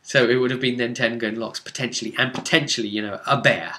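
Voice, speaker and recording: A man giving a casual, conversational recap of a role-playing game session as its game master, leaning on drawn-out words for emphasis. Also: medium pitch, steady tone, pushed and bright.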